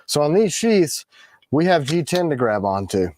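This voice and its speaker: A man with a raspy voice, tired in the morning, co-hosting a casual product livestream and explaining the details of the items he shows. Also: a little high, big pitch swings, moderate pace, a little mellow, a little hiss or hum. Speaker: co-host of a knife company livestream. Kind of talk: casual live chat about products.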